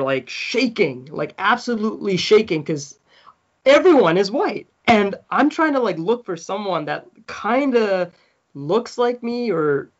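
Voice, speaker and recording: Animated storytelling man, slightly muffled. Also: sits high. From South Africa. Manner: animated, laughing often, acts out quoted people. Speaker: podcast guest. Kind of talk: casual storytelling.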